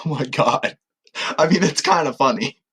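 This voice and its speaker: Female comedy co-host: husky, deep for a woman, casual, exasperated referee in the banter. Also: moderate pace, a bit bright. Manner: exasperated mediator.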